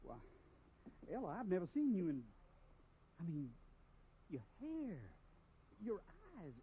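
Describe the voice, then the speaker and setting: A flustered, earnest young cowboy from a 1950s radio western. He stammers eagerly, his pitch fairly high and jumping around. The old recording sounds thin and phone-like under loud hiss. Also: sing-song, unhurried, breathy edge, full character voice. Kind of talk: 1950s radio drama acting.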